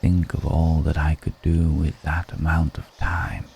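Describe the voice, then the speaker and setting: Man as a very deep, slow-speaking ancient vampire. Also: monotone, vocal fry, darkish tone, a little hiss or hum, full character voice. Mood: reflective, wistful. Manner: slow, deliberate, brooding, with long pauses. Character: ancient male vampire, world-weary, seductive, musing on mortality. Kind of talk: intimate ASMR roleplay monologue.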